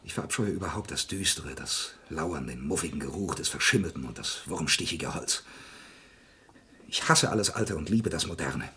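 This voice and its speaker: A man's voice: extremely low pitch, animated, normal speed, pushed and bright, exaggerated voice. An actor playing a lonely, bitter antique dealer in a radio crime drama, stammering and theatrical in his agitation. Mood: bitter, brooding. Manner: agitated, stammering, theatrical, swinging between murmurs and outbursts.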